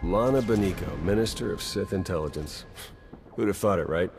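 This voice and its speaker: An actor playing a battle-worn secret agent in a video game, wry and rueful, speaking in clipped informal phrases. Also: male voice, deep voice, a little fast, darkish tone, slight background noise. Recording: long hall reverb, music behind.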